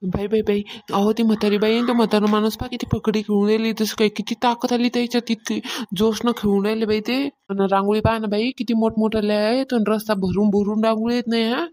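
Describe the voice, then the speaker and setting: A woman plays a scolding village mother in a cartoon comedy. She has a fairly high voice and a Varhadi Marathi accent, exclaiming and nagging at a fast pace. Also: level tone, darkish tone, lightly acted. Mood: amazed.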